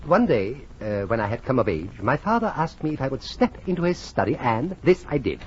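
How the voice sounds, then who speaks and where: An actor playing a vain, fussy self-styled great man who tells his own life story in halting, theatrical 1940s radio comedy style. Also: medium pitch, sing-song, a little hiss or hum, natural voice. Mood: grandly self-satisfied. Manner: pompous, halting, theatrical delivery. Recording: muffled old 1940s radio recording.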